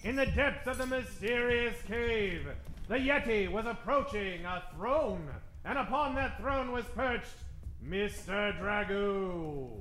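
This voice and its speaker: A man's voice: high voice, animated, slow, a bit bright. A man narrating an old-time radio adventure serial in a dramatic, suspenseful and theatrical manner. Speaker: narrator and announcer. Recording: roomy stage sound, faint music behind.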